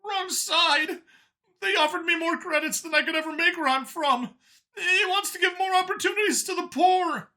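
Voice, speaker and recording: A man's voice: extremely high pitch, moderate pace, sharp tone, pitched above the performer's own voice, heavily acted. A man voicing a burly, fearful alien guard, a cornered father who speaks in a strained, pleading manner. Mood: indignant.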